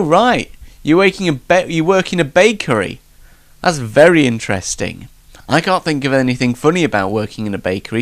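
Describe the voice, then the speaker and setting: A man's voice: fairly high pitch, a bit bright, no room sound. A man hosting a casual solo late night talk show, rambling in a jokey, self-deprecating way, slightly stuffed up with hay fever.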